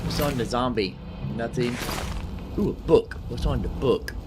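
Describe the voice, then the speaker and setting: A man's voice: mid-range voice, unhurried. A man hosting a gaming video with casual commentary, thinking aloud and repeating himself. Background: game music.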